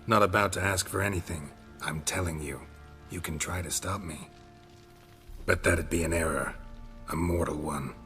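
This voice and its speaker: An actor playing a gruff, terse monster hunter in a fantasy game, deadpan. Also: male voice, deep voice, moderate pace, faint music behind. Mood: cold menace. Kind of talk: game cutscene acting.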